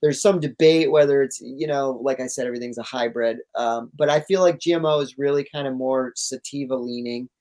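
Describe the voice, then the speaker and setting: A man's voice: medium pitch, a little fast, hardly any pauses, a little mellow. A man talking casually and enthusiastically as an interview guest, in laid-back, slangy language. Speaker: interview guest, cannabis grower.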